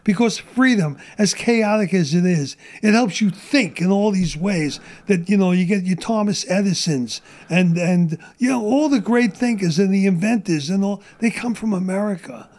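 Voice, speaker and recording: A man's voice: high voice, normal speed, few pauses, darkish tone. A middle-aged man ranting bluntly and profanely in a casual podcast chat, losing his voice. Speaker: guest.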